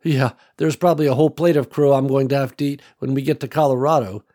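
A man's voice: medium pitch, fairly even intonation, fast talker, dark tone, pitched above the performer's own voice, close to an everyday voice. A man voicing a young woman who speaks earnestly and a bit hesitantly about being a reluctant leader.

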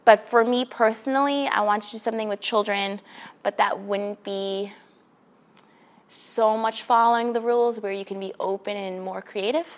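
A woman's voice: mid-range voice, fairly even intonation, moderate pace. A woman in her thirties from Queens, New York City, a children's librarian chatting as a podcast guest in an upbeat way and laughing often. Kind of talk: casual interview chat.

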